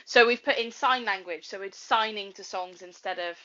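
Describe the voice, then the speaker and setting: A woman's voice: mid-range voice, moderate pace, pushed and bright, lo-fi sound. A woman hosting an interview in casual, chatty style. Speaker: interviewer, host. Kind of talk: casual chat.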